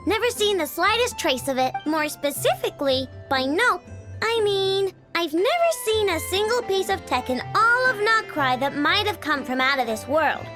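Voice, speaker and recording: Tiny excitable kid genius for a game, super high and bouncy, bit of a show-off, music playing behind. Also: female voice, moderate pace. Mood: matter-of-fact. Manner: childlike, perky, casual, boastful. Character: excitable, snack-loving young girl inventor, proud household head. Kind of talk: animated video game voice acting.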